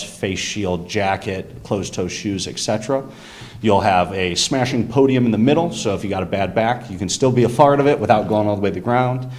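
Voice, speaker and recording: A deep-voiced young man speaks up in a council chamber, his voice naturally loud and carrying. He lays out his business plan with eager enthusiasm, moving through details point by point. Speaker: business owner presenting to a council. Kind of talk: public comment at a meeting.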